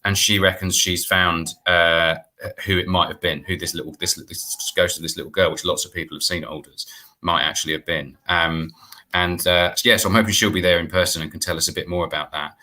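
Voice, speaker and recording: A man's voice: low voice, steady tone, a little fast, bright, forceful tone. A man in his forties speaking informally as a guest on a video call, explaining a project. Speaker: guest, artistic director and playwright. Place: indoor room at home, on a video call.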